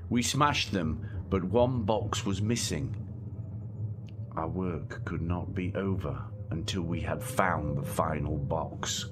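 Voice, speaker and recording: Deep-voiced earnest husband. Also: male voice, slow pace, noisy background. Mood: grave narration. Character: earnest, protective young husband recounting the vampire hunt. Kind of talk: radio drama acting and narration.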